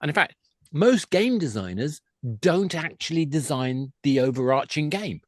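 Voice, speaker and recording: A man's voice: a little high, expressive, normal speed, dry recording. Talkative man explaining casually, dry close sound. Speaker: guest, games industry expert. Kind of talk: podcast discussion, casual explaining.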